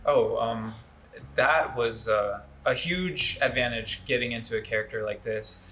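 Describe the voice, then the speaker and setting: A young man gives casual interview answers in a bright, forward voice at medium pitch. There is faint background noise. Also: measured pace. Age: young adult. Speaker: student actor being interviewed.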